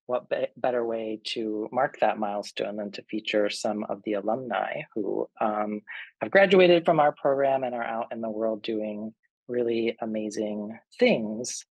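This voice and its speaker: Male panel moderator, gravelly and husky, dry close sound, relaxed with plenty of ums. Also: lowish voice, no room sound, rough recording. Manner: friendly, loose, with many fillers. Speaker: panel moderator, associate professor. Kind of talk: online panel hosting. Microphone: video call.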